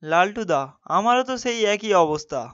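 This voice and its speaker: A woman's voice: medium pitch, expressive, normal speed, a bit bright, no echo, dull audio, lightly acted. A woman voicing a humble, pious, poor village man in a fairy-tale cartoon, earnest and reassuring. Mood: hopeful.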